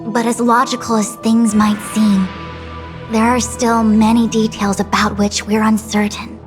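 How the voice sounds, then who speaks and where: Actress doing a dutiful lady diviner for a game, formal n measured, bit of music under it. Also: fairly high pitch, flat delivery, natural voice. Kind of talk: scripted video game dialogue.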